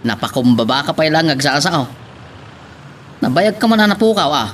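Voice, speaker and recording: A man's voice: on the high side, big pitch swings, normal speed, fairly bright tone, a little hiss or hum. A man playing a chatty, struggling family man in an Ilocano audio drama. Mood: hearty, surprised.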